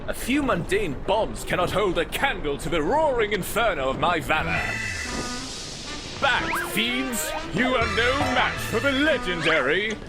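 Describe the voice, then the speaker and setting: A man acting a vain, flamboyant gentleman hero in a game fan dub, with pompous, theatrical and grandiloquent delivery. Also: high-pitched, big pitch swings, a little slow, over loud music. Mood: boastful.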